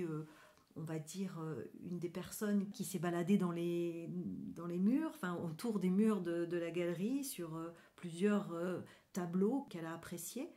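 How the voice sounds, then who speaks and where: A woman's voice: fairly low pitch, warm tone. A woman being interviewed on radio as a guest, explaining things enthusiastically and searching for her words as she goes. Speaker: guest, graphic artist presenting a gallery event.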